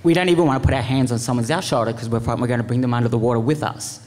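A man speaking informally as a panelist, earnest and impassioned, in a halting, rambling way. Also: medium pitch, steady tone, darkish tone. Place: large event venue, live panel. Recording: echoey hall with long reverb.

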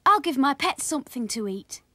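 A woman's voice: sits high, big pitch swings, a little fast, pushed and bright, dry recording, voice pushed up, exaggerated voice. A woman voicing an earnest young boy who loves his pets, in an eager, plaintive children's storybook style. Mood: helpful.

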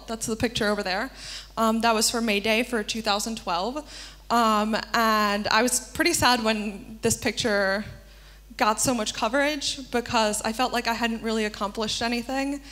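Young woman from Argentina, handheld mic, slightly high voice, earnest workshop presenter with frequent ums. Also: fairly bright tone. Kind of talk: conference talk. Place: small stage in a darkened hall with a projection screen.

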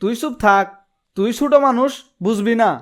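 A man's voice: very high voice, moderate pace, a little hiss or hum. A man voicing a fussy, irritable village uncle in a comedy cartoon, nagging and snappish, with a colloquial Bangladeshi accent. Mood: irritated.

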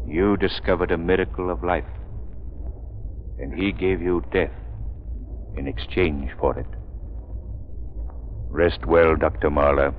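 An actor playing an earnest, determined crime-fighting gentleman in intense, dramatic 1930s radio style. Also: low voice, fairly even intonation, slow pace, long pauses. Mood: solemn, vowing. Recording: muffled, noisy 1930s radio recording.

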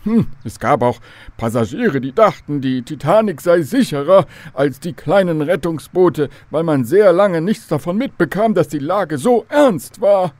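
An actor playing a courteous, old-fashioned, learned professor in a children's radio drama, formal and pedantic. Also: male voice, on the high side, lively intonation, a little slow, darkish tone, no echo. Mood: lecturing.

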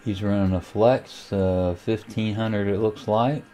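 Low, even-voiced man walking through software. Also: a little slow. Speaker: amateur radio tutorial host. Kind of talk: casual screen-recorded software walkthrough.

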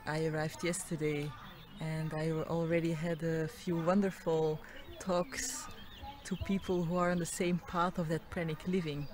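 A woman introducing herself in a festival interview in a gentle, steady way. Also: low-pitched, normal speed, a crowd in the background.

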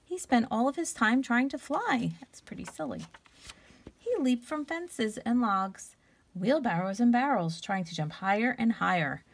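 A woman reads a picture book to young children with very lively, swooping intonation. There is some creak in her voice. She draws out key words and stops to put questions to her listeners. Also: a little high. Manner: expressive, stretches key words, pauses to ask questions. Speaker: teacher reading aloud. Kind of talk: animated children's storybook read-aloud.

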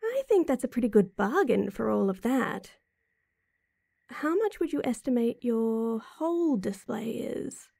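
A woman's voice: fairly high pitch, very animated, measured pace, warm tone, dry recording, studio quality, full character voice. A woman playing a sweet, supportive, teasing girlfriend in an intimate roleplay audio drama, talking affectionately to the listener. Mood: impressed, excited. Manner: affectionate, teasing, breathy chuckles and sighs. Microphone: close mic, dry.

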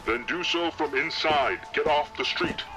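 A man's voice: fairly high pitch, a little slow, over music, close to an everyday voice, low-quality recording. A man playing a stern military enforcer in a sci-fi audio drama, giving clipped commands. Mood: commanding. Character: stern armed military enforcer ordering civilians.

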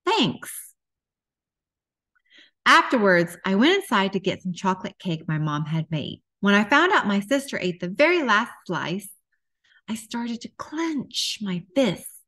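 A woman, middle-aged, reading a children's story aloud with expressive, deliberate delivery for young listeners. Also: medium pitch.

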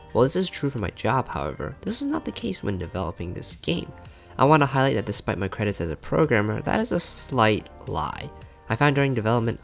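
Low-pitched man with lively intonation, scripted narration. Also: creaky. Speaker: software engineer and video creator narrating a dev log.

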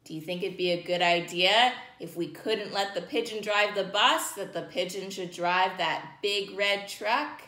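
A woman in her thirties leading an interactive read-aloud, putting questions to young children in an animated way. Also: mid-range voice, unhurried, few pauses. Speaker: storytime reader for children. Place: indoors, small plain-walled room. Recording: echoey room.

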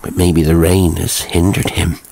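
A man's voice: low-pitched, flat delivery, normal speed, vocal fry, warm tone, poor audio. A man voicing a shrewd old rustic cottage woman in a horror story, deferential but knowing, feigning stupidity. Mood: shrewd, ominous.

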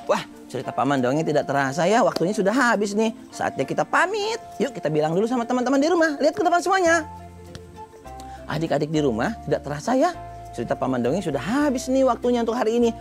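A man's voice: high-pitched, big pitch swings, fast talker. A middle-aged man tells stories to young children, playfully putting questions to them as host. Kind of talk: animated storytelling to young children. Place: TV studio set with seated children. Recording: music behind the voice.

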